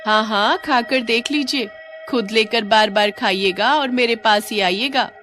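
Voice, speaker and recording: A woman's voice: on the high side, unhurried, fairly bright tone. Almond seller, cartoon, woman narrator performing: female hawker, coaxing, lilting, music behind. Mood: friendly, coaxing. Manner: lilting, coaxing sales patter. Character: sweet-talking woman street almond seller. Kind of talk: Hindi animated story. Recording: music under the voice.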